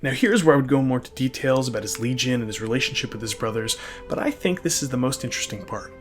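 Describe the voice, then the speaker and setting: A man's voice: mid-range voice, animated, fast talker. A man hosting and narrating a fan lore video, mixing scripted storytelling with casual, emphatic, wry asides. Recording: music behind the voice. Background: background music.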